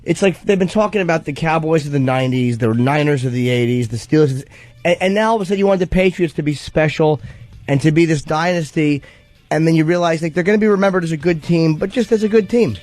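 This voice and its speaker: Slightly high-voiced man chatting on radio. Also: fast, darkish tone, no room sound, faint music behind. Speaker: radio show co-host. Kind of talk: casual radio sports chat.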